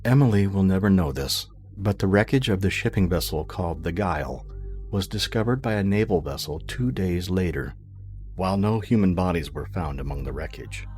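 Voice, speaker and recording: A man narrating a scripted fiction podcast in a measured, grave, dramatic storytelling manner. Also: sits low, lively intonation, darkish tone, faint music behind.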